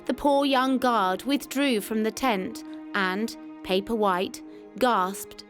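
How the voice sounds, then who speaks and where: A woman with a fairly high voice reads a scripted memoir as a narrator. Music plays clearly behind her as she tells the first-person wartime account. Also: slow.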